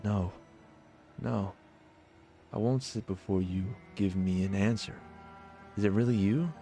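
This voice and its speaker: A man's voice: lowish voice, unhurried, warm tone, faint music behind. A man reading the lines of a bewildered, wary husband in a visual novel, solemn and questioning. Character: bewildered, wary husband confronting his lost wife. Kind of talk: visual novel dialogue read aloud.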